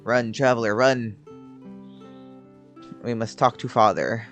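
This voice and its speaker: Female gameplay commentator, remarkably deep pitch, loose chatty reactions in short bursts, soft game music in the background. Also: slow pace. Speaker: game streamer.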